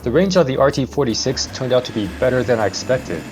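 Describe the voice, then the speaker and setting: A man's voice: mid-range voice, animated, quick pace, very noisy. A young man hosting a vlog, narrating a casual product review.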